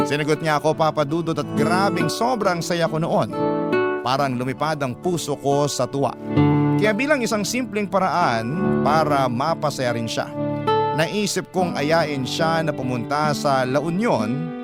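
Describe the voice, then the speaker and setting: Prominent guitar music plays behind an actor as an earnest, sentimental young Filipino man. His fairly high voice tells a love story in a measured, reflective radio drama narration. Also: natural voice. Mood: happy, sentimental. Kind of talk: dramatized first-person radio letter narration.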